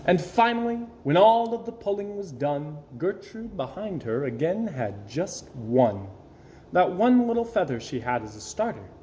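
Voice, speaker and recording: A man performs an expressive read-aloud for children. His delivery is theatrical and very animated in pitch, leaning on key words and pausing for effect. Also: slight background noise, poor audio. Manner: theatrical, dramatic emphasis and pauses. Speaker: storybook reader.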